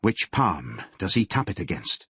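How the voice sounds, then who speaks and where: A man's voice: low voice, big pitch swings, a bit bright, slightly rough, dry recording, exaggerated voice. A man playing a stern detective father. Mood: concerned, firm. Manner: firm, measured, controlled. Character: strict, stern middle-aged police detective father.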